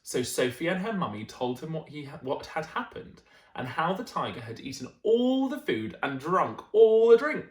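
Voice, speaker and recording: A man reads a picture book to children in a highly varied, expressive voice. He stresses key words dramatically and adds little asides to his listeners. The room gives the sound an audible echo. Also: moderate pace. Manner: animated, dramatic emphasis with friendly asides to listeners. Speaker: storyteller reading bedtime stories to children.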